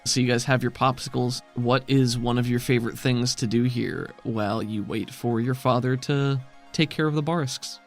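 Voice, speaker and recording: A man's voice: mid-range voice, normal speed. Game master guy, chill, asks lots of questions, faint music in the back. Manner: relaxed, prompting questions and joking asides. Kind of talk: narrating a tabletop game.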